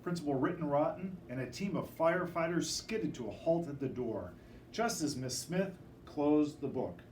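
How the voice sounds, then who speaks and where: A man reading a children's picture book aloud in an expressive, dramatic storytelling manner. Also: on the high side, moderate pace, echoey room. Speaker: storybook reader. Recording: distant microphone with faint background noise.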